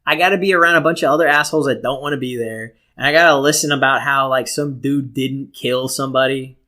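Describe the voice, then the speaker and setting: A man's voice: medium pitch, steady tone, fast, pushed and bright. A man co-hosting a podcast in casual comedic chat, joking in slangy, profane language. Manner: profane, joking, laid-back slangy talk. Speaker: podcast co-host.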